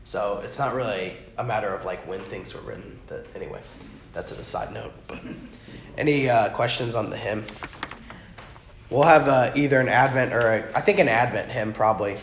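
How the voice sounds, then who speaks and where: Hoarse man teaching softly. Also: fairly low pitch, normal speed. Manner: rambling, self-correcting, talks softly. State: hoarse, lost his voice. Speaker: pastor teaching a class. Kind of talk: informal church class teaching. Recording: muffled, echoey room with faint noise.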